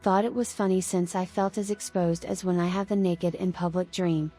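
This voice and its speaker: A woman's voice: on the low side, fairly even intonation, moderate pace, warm tone, soft background music. A woman reading a short dream story aloud in a light, confessional way. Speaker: reader.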